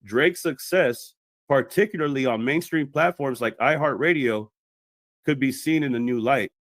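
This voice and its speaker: A man's voice: mid-range voice, moderate pace, no room sound, clean studio sound. A man delivering scripted explanatory commentary as a video commentator.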